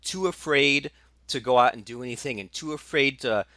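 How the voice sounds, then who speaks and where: Podcast host guy, mid pitch, dry close mic, lots of likes and um restarts. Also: moderate pace, pushed and bright, no echo. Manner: eager, filler-heavy, restarts a lot. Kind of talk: casual podcast interview.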